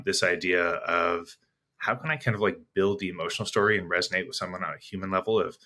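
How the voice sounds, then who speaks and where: A man's voice: sits low, sharp tone. A man speaking as an interview guest, explaining business ideas reflectively in a conversational way, building his points piece by piece. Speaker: interview guest, sales executive.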